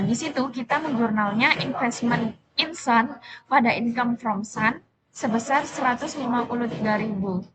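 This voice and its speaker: A young adult woman giving an explanatory class presentation as a student, in a halting, self-correcting manner. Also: high-pitched, background noise. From Indonesia.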